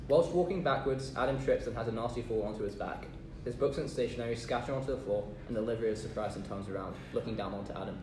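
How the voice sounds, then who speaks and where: Fast-reading male narrator in an echoey room. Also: on the low side, fairly even intonation. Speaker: narrator reading stage directions. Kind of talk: scripted narration at a table read. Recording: roomy distant mic, clear background noise.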